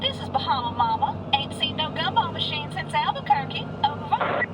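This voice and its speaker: A woman's voice: high-pitched, big pitch swings, moderate pace. A woman playing a sassy, blunt trucker talking over CB radio in a comic audio drama. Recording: very noisy, distant CB radio sound.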